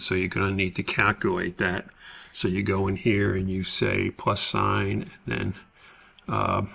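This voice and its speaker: Slow, gravelly-voiced man teaching. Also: sits low, bright, forceful tone. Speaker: instructor. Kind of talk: screen-recorded spreadsheet tutorial, unscripted explanation.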